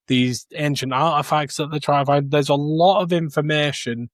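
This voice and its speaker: A man's voice: fairly high pitch, fairly even intonation, moderate pace, dry recording, studio quality. A man giving his opinions in a casual podcast chat as a co-host.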